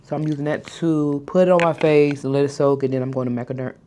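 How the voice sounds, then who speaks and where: A woman's voice: sits low, steady tone, mellow, a little hiss or hum. Woman in her thirties with a stutter. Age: 30s. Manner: stutters and mispronounces words, talks slowly on purpose. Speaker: vlogger. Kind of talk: casual skincare vlog chat. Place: hotel room, indoors.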